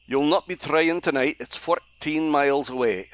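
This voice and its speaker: An actor playing a curt, suspicious, tight-fisted Scottish crofter in an audio play. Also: male voice, on the high side, normal speed, natural voice. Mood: bargaining. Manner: curt, bossy, blunt.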